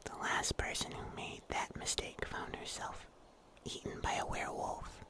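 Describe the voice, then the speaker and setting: A man playing a wry, protective huntsman in a breathy, whispered ASMR roleplay. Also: close to an everyday voice. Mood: ominous, wry. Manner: slow, hushed, gently teasing. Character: mysterious huntsman in dark woods, wry and protective.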